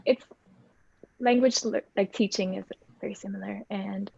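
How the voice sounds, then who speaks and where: A woman's voice: medium pitch, fairly even intonation, normal speed, creaky, darkish tone, husky, dry recording. A woman who grew up between Denmark and Germany introduces herself as a language teacher, chatty and a little self-deprecating. Her voice comes through a dull, muffled video call with a dry, close sound.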